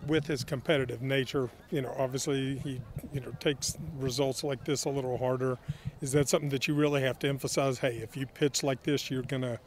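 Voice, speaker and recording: Guy reporter asking long winding questions outside, flat delivery, light background hum. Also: medium pitch, fairly quick. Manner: long rambling questions with fillers. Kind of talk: press questions. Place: outdoors at a ballpark at night.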